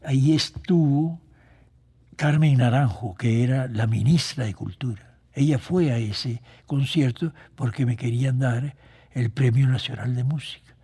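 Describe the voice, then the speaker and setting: An older man with a Costa Rican accent, reminiscing reflectively in an interview, halting and pausing mid-phrase. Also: medium pitch, mellow, husky. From Costa Rica. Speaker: composer being interviewed.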